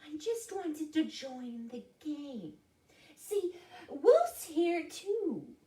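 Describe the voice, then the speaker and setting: A woman's voice: high-pitched, sing-song, extremely slow, dark tone, echoey room, distant mic, pitched above the performer's own voice, heavily acted. A woman voicing a sly, cowardly male bobcat in a children's storybook tale. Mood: whimpering, apologetic. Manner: snarling or whimpering. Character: sly male bobcat, whimpering when challenged.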